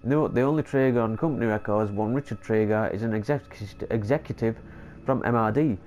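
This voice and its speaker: A man's voice: on the low side, fairly quick, darkish tone, lo-fi sound. A man, stuffy from a cold, commentating casually on a horror game and stumbling over long words as he reads notes aloud. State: congested with a cold. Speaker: gameplay commentator. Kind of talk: casual let's play commentary.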